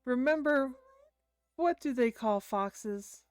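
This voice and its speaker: A woman's voice: high voice, slow pace, darkish tone, clean tone, no echo, faint music behind. A woman voicing a sly, menacing fox girl from a horror visual novel, teasing and taunting. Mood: mocking, teasing.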